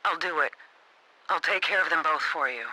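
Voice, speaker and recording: An actress playing a lovesick, anxious woman in a video game, needy and hushed on an answering-machine message. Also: low-pitched, normal speed, background noise. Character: lovesick, anxious female accomplice leaving a secret message. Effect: answering-machine playback. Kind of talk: scripted game voicemail acting.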